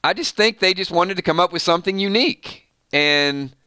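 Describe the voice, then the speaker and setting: Bright-voiced Georgia man chatting. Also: high voice, moderate pace, clean tone, dry recording, studio quality. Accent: Georgia (US South). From Georgia, USA. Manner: chatty, rambling, laughs often, reads viewer comments. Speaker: show host. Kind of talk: casual live-stream chat.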